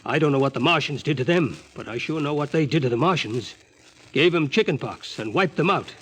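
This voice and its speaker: A deferential spaceman in a 1950s radio drama. His voice is fairly high and husky, and he speaks in an earnest, plain-spoken way. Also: animated, a little fast, fairly bright tone. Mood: grim, earnest. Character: deferential male spaceman reporting grim findings to his captain.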